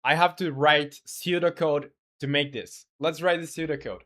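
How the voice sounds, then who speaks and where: A man whose first language is Spanish, a game developer chatting casually on a live stream. He thinks aloud in halting bursts, with frequent hesitations and restarts. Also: a little high, fairly even intonation, sharp tone. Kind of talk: casual live-stream chat and coding talk.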